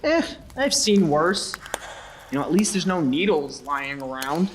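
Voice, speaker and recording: A cocky young supernatural teaser, voiced by a woman in an audio drama. The pitch leaps around in animated, sarcastic taunts. The delivery is mocking and smug. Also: a little slow, slight background noise. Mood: dry. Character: cocky, sarcastic floating young man named Azrael, Azzy. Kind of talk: hobby audio drama acting.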